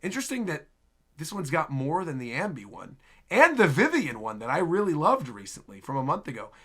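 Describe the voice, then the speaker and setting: Male reaction host, high-pitched voice, bright tone, casual chatty commentary, excitable. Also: a little fast. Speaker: host, reaction video creator.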